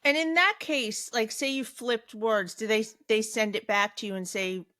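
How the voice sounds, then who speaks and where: A woman's voice: on the high side, normal speed, pushed and bright. A woman podcast host chatting casually with a guest, swearing freely and giggling. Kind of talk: casual chat.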